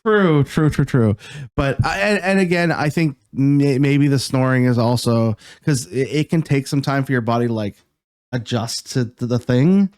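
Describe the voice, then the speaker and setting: A man from Canada, co-hosting a podcast in a halting casual chat, often laughing. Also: mid-range voice, darkish tone, no room sound, clean studio sound. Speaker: podcast co-host.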